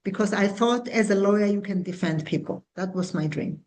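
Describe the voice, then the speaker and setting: A woman's voice: mid-range voice, a little mellow, slight background noise. Earnest woman from South Africa in her sixties. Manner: earnest, measured, with small restarts. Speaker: guest, founder and CEO. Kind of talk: interview answers.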